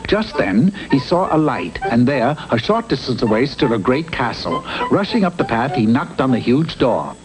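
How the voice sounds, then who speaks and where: A man's voice: mid-range voice, big pitch swings, moderate pace, poor audio. A man narrating a comic fairy tale for a cartoon in a scripted storybook style. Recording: old TV cartoon audio, music underneath.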